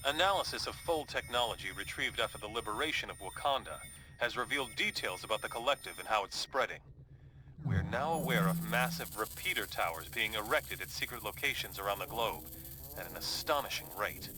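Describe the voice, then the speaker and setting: An actor playing a serious superhero strategist in a video game, giving a measured, explanatory mission briefing. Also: male voice, fairly low pitch, lively intonation, background noise, close to an everyday voice. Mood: concerned.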